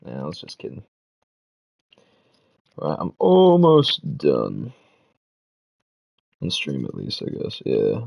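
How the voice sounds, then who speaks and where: A man's voice: rumbling low, huge pitch swings, takes it slowly, vocal fry, long pauses, darkish tone, dull audio. A man chatting casually on a live stream, muttering to himself as he works and swearing now and then.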